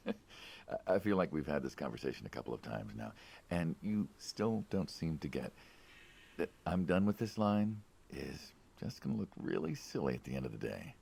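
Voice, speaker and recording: A man's voice: a bass voice, big pitch swings, normal speed, creaky, darkish tone, husky, exaggerated voice. An actor playing a wary but uneasily friendly mob hitman in a video game, speaking in a hesitant, skeptical, conversational way. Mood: firm warning.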